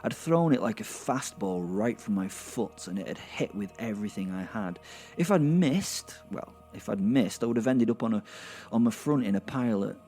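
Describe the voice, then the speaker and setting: A man's voice: fairly low pitch, animated, warm tone, soft background music, close to an everyday voice. A man playing a brash, sardonic werewolf who tells his violent story in a rambling, conversational way with wry asides, in an audio drama. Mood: animated, incredulous. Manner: rambling, conversational, halting for effect, repeating words. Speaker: narrator and main character of an audio drama.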